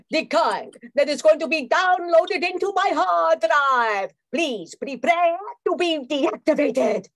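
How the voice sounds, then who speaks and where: A woman's voice: high voice, lively intonation, slow, dry recording, full character voice. A woman playing a scheming, theatrical space villainess in an amateur radio play, menacing in manner. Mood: thwarted, scheming. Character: scheming slinky female space villainess with weapons arsenal. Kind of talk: amateur radio play acting, old-time radio parody.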